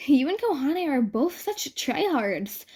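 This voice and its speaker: A woman voicing a sociable, easily exasperated young college woman, with an expressive, bantering delivery. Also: sits high, slow, pitched above the performer's own voice, exaggerated voice. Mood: friendly.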